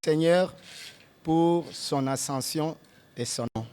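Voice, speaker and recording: A man with a Congolese accent leading a solemn prayer at a memorial gathering, speaking in measured phrases; his first language is Tshiluba. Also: on the high side, darkish tone, clean tone. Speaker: master of ceremonies leading prayer.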